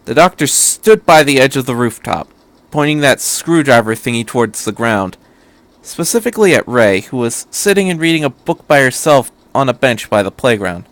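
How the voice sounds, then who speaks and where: A man's voice: medium pitch, animated, moderate pace, no echo. A man reading a story aloud as an amateur narrator, in scripted prose. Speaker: amateur fan fiction reader.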